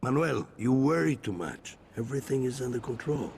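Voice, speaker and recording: A testy cartel boss in a game cutscene. His voice is gravelly and highly expressive, with a dismissive edge, over faint ambience. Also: moderate pace, a little hiss or hum. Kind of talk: video game cutscene acting.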